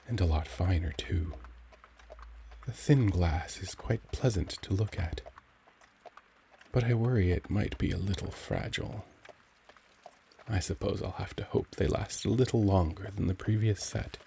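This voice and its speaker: Man playing a deep, velvety vampire gentleman. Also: gravelly, a little hiss or hum. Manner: genteel, formal, soft-spoken, courtly phrasing. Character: young charming cultured male vampire gentleman, caring and gentle. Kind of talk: ASMR roleplay audio drama.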